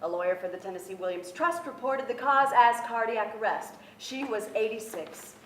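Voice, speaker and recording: A woman's voice: fairly high pitch, normal speed. A young adult woman giving a dramatic reading from a script on a theatre stage. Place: theatre stage before an audience. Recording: roomy theatre, faint background noise.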